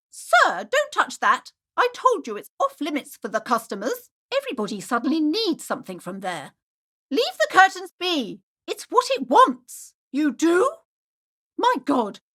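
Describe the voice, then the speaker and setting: Prim, high-voiced, swooping female shopkeeper. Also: fairly bright tone, heavily acted. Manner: fussy, formal, theatrical. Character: prim, anxious, superstitious female bookshop keeper, haughty yet polite. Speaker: video game voice actor. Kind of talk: acted video game dialogue.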